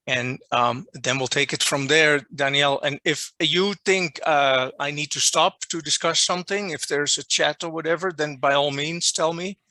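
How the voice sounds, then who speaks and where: A man with a Dutch accent, a non-native English speaker, giving an online lecture as a journal editor and restating his points deliberately over a video call. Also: a little high, bright, forceful tone, no echo. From Netherlands. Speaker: journal editor presenting a workshop. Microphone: video call microphone.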